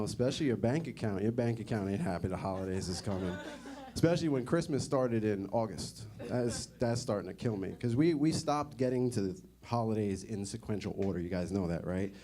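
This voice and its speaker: A man's voice: on the low side, lively intonation, normal speed, a crowd in the background. A young man doing a stand-up comedy set in a conversational, sardonic way, often asking the audience questions. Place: comedy club stage.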